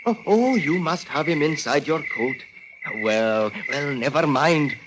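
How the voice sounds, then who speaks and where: Man playing a high-voiced, childlike, gentle simpleton. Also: measured pace, lightly acted. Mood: delighted, dreamy. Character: gentle, childlike simpleton countryman, dreamy and easily pleased. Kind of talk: 1940s radio acting. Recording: very noisy vintage 1940s radio recording.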